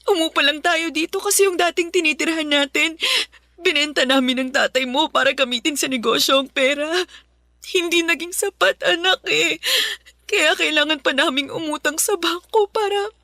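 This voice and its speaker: A woman's voice: high voice, moderate pace, sharp tone, dry recording, studio quality. An actress playing a distressed, loving mother abandoned by her husband in an emotional Tagalog radio drama. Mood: tearful, apologetic. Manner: tearful, emotional, sighing. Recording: music playing behind.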